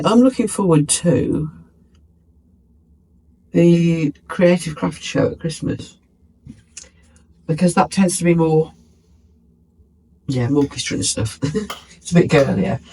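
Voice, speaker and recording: A man's voice: a little high, gravelly, warm tone, a little hiss or hum, poor audio. A British man chatting casually in a craft vlog, sounding stuffy and bunged up from a lingering cold, musing and poking fun at himself. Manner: chatty, musing, self-mocking. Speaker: craft vlogger.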